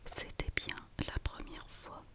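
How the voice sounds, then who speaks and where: A woman whispering a children's story in ASMR style, reading slowly and deliberately with pauses. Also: a bit bright. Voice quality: unvoiced whisper.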